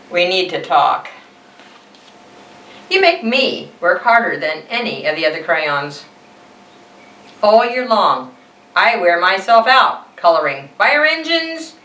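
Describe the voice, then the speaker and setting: A woman voicing an overworked, earnest red crayon from a storybook, halting and apologetic as it airs its complaints. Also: mid-range voice, sharp tone, roomy sound, far from the mic, exaggerated voice, low-quality recording.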